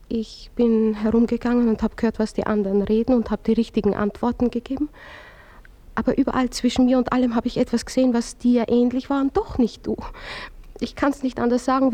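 Radio-play young wife, jealous and fragile, confessing in a low hush; warm tone, a bit high , acted by a woman. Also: steady tone, natural voice. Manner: hushed, halting, intense.

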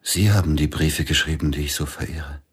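An actor playing a reserved concert pianist in a radio play, speaking in short, husky replies. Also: male voice, very deep voice, fairly quick, full character voice. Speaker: celebrated pianist.

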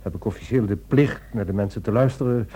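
An actor playing a weary, guilt-ridden husband, earnest but strained, in a radio play. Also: male voice, on the low side, quick pace, warm tone, breathy edge. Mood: tired, soothing. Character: weary, guilt-ridden, evasive devoted husband, helpline volunteer. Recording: dull, lo-fi old radio recording.

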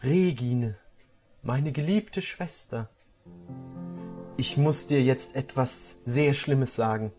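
A man reading aloud and voicing a weary young fairy empress who speaks slowly and solemnly. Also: medium pitch, a little mellow, soft background music, pitched below the performer's own voice, lightly acted.

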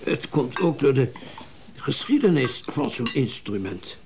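An actor playing a wealthy, well-bred middle-aged collector in a radio drama, speaking in a genteel, reflective way with hesitant pauses. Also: male voice, mid-range voice, big pitch swings, gravelly, background noise. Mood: musing. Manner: genteel, reflective, hesitant pauses, old-fashioned turns of phrase. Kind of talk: naturalistic radio drama dialogue.

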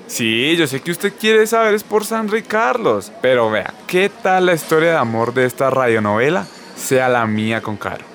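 A man's voice: mid-range voice, very animated, moderate pace, few pauses, fairly bright tone. A man playing a playful, lovestruck young guy with a Colombian accent in a radio soap. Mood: playful, hinting. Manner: colloquial, playful.

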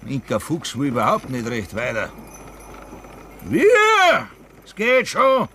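An actor playing a gruff Bavarian man with a Bavarian accent who drives a sleigh through the snow in a radio play. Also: mid-range voice, huge pitch swings, slow, bright, forceful tone, slight background noise, exaggerated voice. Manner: gruff, blunt, grumbling. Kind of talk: 1960s radio play acting.

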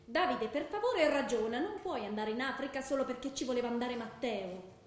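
A woman's voice: on the high side, animated, a little fast, slight background noise, natural voice. An actress playing a worried young girlfriend, pleading and insistent, in radio theatre dialogue. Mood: pleading, exasperated. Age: young adult. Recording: very echoey theatre room.

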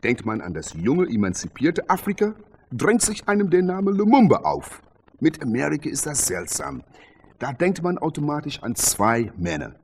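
Animated cocky champion boxer. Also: male voice, on the high side, normal speed, a little hiss or hum. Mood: proud. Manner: boastful, provocative, rhetorical. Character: cocky, quick-witted champion boxer, proud showman. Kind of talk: radio drama interview scene.

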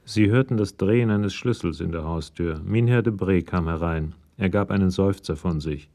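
A man's voice: low voice, moderate pace, dark tone. A man giving scripted narration for a German radio crime drama.

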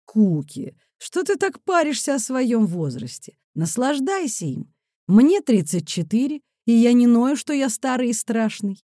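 A woman voicing a teasing, relaxed sporty man of thirty-four in an audiobook, low, unhurried and matter-of-fact. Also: no echo, clean studio sound. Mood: dry teasing.

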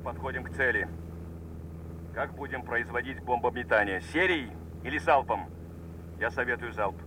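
A man's voice: mid-range voice, moderate pace, close to an everyday voice. An actor playing a keen, alert bomber navigator in a radio war drama, giving clipped military reports to his commander. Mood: focused. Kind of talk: Soviet radio drama acting. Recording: noisy aircraft cabin sound effects. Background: loud engine drone.

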